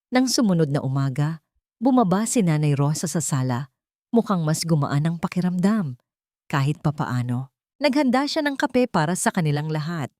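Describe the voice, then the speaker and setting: Female Filipino storyteller for romantic drama, lower register, close and dry sound. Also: warm tone, no room sound.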